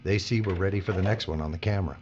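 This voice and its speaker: An actor playing a weary, sardonic veteran worker, blunt and gruff, in a dystopian audio drama. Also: male voice, deep voice, rapid-fire, lightly acted. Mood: wry, weary. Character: weary, cynical male veteran worker mentoring a younger one. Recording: dull audio with faint mains hum.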